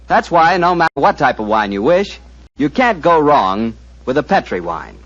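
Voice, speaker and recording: A man working as a radio announcer, giving a smooth, persuasive sponsor pitch and credits in 1940s style. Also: sing-song, normal speed, a bit bright. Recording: lo-fi 1940s radio broadcast with music.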